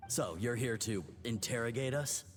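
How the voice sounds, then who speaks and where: A man's voice: medium pitch, moderate pace, soft background music, natural voice. Man acting a wary priest in long reverb. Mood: wary, alarmed. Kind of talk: video game voice acting.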